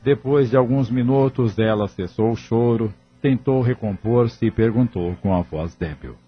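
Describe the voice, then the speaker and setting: A man's voice: medium pitch, unhurried, slightly rough. A man with a Brazilian accent reading scripted narration for an audio drama. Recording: dull lo-fi audio with music.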